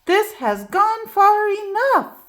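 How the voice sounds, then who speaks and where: Woman reading as flustered teacher lady, shrill, exasperated, animated. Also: slow pace, full character voice. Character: flustered female schoolteacher.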